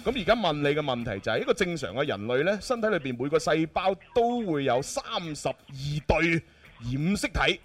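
A man hosting lively quiz-show banter in a teasing, jokey manner. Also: fairly high pitch, a little fast. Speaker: game show host.

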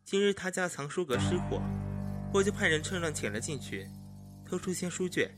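A man playing a sharp-tongued, articulate confidant of an imperial official in a Chinese costume audio drama. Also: sits high, big pitch swings, normal speed, music in the background. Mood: brisk reporting. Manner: wry, articulate, needling.

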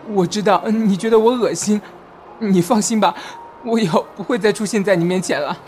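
A young man acting a shy, insecure young man in love in a romantic audio drama, softly and earnestly. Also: high voice, brisk, slight background noise, close to an everyday voice. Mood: hurt, resigned. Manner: soft, hesitant, earnest. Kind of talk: Chinese audio drama acting with inner narration. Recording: music behind.

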